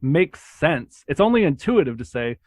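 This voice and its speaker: A man's voice: normal speed, no echo. Casual male podcast host, dry mic. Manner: relaxed, lots of "like" fillers, rises in pitch when excited. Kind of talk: casual chat.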